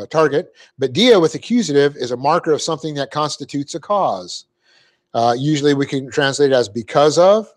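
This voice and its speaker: A man teaching a Bible class, explaining Greek grammar step by step, spelling out words and clearing his throat often. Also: mid-range voice. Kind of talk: verse-by-verse Bible class lecture.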